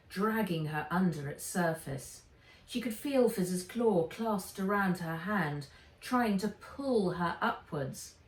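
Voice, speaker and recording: A woman reading a children's adventure story aloud with dramatic, expressive narration. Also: mid-range voice. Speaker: author reading own book aloud. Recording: roomy, distant microphone.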